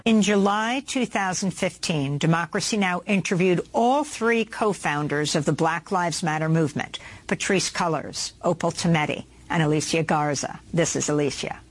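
A woman anchor delivers scripted news narration in a measured, formal manner. Her voice sits on the low side.

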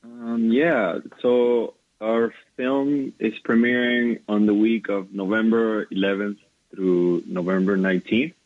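A man, a documentary filmmaker, answering interview questions hesitantly with many pauses. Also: on the low side, fairly even intonation, no echo, narrow, tinny audio.

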